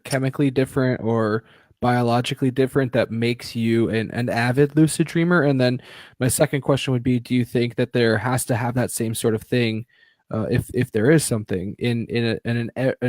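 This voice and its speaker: A man of average pitch co-hosts a podcast in loose live-stream chat. He stumbles and restarts his sentences and swears casually. His voice is recorded dry, with no room echo. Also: normal speed, a little mellow. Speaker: podcast co-host.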